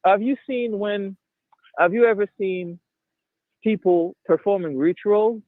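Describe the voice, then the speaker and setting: A man's voice: high-pitched, measured pace, dry recording. A man from Haiti calling in, talking hesitantly with many stammering restarts. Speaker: caller. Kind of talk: casual debate. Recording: tinny phone line.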